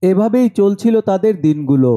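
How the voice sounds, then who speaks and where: A man's voice: sits high, lively intonation, normal speed, mellow. A man narrating a scripted horror story over background music.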